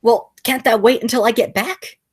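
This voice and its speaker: A teenage girl playing a flustered, exasperated man in a school radio play, stammering and halting as she speaks. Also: fairly high pitch, fairly even intonation, a little mellow, slightly rough. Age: teens. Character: flustered, exasperated male theater critic and nephew.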